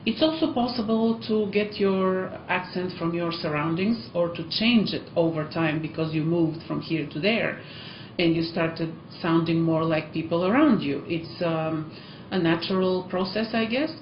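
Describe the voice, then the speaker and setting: A woman's voice: lowish voice, moderate pace, slight background noise. A woman from Eastern Europe with an Eastern European accent, a language school teacher chatting encouragingly to learners, with many ums and uhs. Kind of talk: casual vlog talk to learners. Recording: echoey, muffled room sound.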